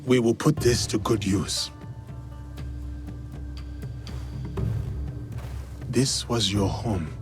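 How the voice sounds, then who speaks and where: An actor playing a stoic, loyal, foreign-born samurai warrior in a video game, speaking tersely and solemnly. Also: male voice, fairly low pitch, unhurried, natural voice. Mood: grave. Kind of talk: video game cutscene acting. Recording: loud music behind the voice. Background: music.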